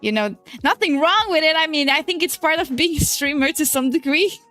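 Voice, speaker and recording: Chatty high-voiced Portuguese woman streamer. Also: expressive, brisk, bright, forceful tone. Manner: chatty and rambling, laughs often, swears casually. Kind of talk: casual livestream chat while drawing. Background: faint music.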